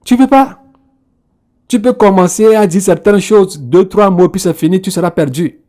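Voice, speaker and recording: A man's voice: high voice, moderate pace, dark tone. A man, repeating phrases and punctuating them with hallelujah. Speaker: preacher. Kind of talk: sermon.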